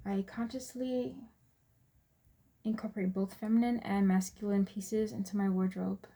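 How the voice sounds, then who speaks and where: Mellow young American woman presenting. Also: mid-range voice, flat delivery, normal speed. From US Virgin Islands and Texas, USA. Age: 20s. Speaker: student presenter. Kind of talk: prepared class presentation.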